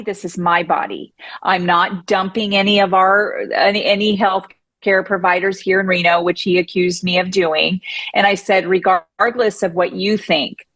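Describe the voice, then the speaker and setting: A woman's voice: medium pitch, fairly bright tone, no echo. A woman speaking as a podcast guest, talking emphatically and with self-assurance. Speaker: podcast guest, breast cancer survivor. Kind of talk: conversational interview.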